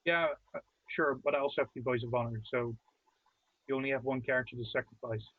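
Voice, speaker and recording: Husky man on a tinny call line. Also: mid-range voice, steady tone, fast, no room sound. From outside the United States. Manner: thoughtful, hesitant restarts. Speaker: card game co-host. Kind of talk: casual post-game chat. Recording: dry, tinny call-quality audio.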